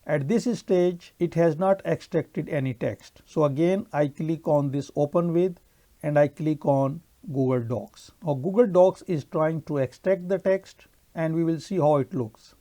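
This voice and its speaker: A man whose first language is Urdu, narrating a screen-recorded software tutorial and explaining each step in turn. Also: on the high side, a little slow.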